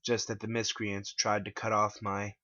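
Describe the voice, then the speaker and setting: A man's voice: lowish voice, monotone, moderate pace, pushed and bright, no echo, highs cut off. A man giving a dramatic scripted narration as the author of a first-person story. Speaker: author reading own story.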